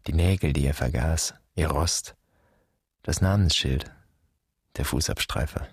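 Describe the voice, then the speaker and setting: A man's voice: measured pace, no echo, clean studio sound, exaggerated voice. An actor playing a grave, bitter man pondering his dead father in a questioning radio drama monologue. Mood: mournful. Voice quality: husky, very deep.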